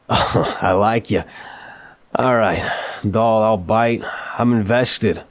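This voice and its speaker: A man's voice: lowish voice, drawn-out words, dry recording. A man acting as an apologetic, charming mafia boss in a scripted audio roleplay, talking in wry, conversational gangster slang. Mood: amused, charmed.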